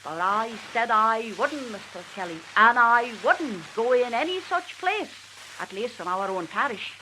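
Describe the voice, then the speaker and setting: A woman's voice: a little high, a little slow, poor audio. A woman reciting a dialect tale as a talkative, exasperated Manx country wife confiding in a neighbour, on a hissy 1940s archive recording. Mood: confiding, indignant. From Isle of Man. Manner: animated, confiding, repeatedly addressing her listener.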